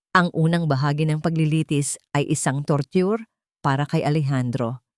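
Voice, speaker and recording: A woman's voice: deep voice, a little mellow, dry recording, studio quality. A woman narrating a scripted audio drama story.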